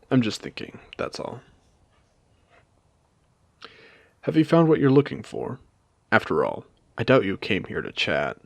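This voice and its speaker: A man voicing a suave, world-weary machine casino owner in an audio roleplay, speaking to the listener in a measured, genteel, theatrical way with pointed pauses. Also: lowish voice, gravelly, dry recording, heavily acted. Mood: guarded. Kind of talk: intimate scripted audio roleplay addressed to the listener.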